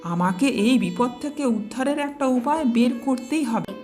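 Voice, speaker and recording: A woman voicing a clever, quick-witted monkey in a children's story, with an expressive, persuasive delivery. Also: high-pitched. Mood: anxious. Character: clever, quick-witted monkey, loyal friend turned mocking. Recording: music behind the voice.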